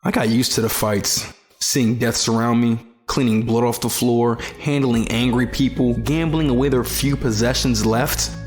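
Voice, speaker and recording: A man's voice: medium pitch, steady tone, moderate pace, natural voice. A man playing a weary, disillusioned young survivor in an audio drama, reflective and plain-spoken. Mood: brooding. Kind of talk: first-person audio drama narration and dialogue. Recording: loud music behind the voice. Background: music.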